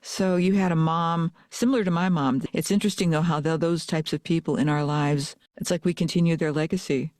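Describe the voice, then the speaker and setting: A man hosting a podcast interview over a video call, chatting eagerly in quick bursts. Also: fairly high pitch, fairly even intonation, a little mellow, no room sound, clean studio sound. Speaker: podcast host. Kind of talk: casual interview chat.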